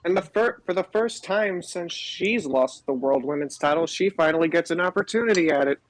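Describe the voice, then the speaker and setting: A man's voice: fairly high pitch, lively intonation, brisk, a crowd in the background, poor audio. A man co-hosting a podcast, talking casually about pro wrestling. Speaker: podcast co-host.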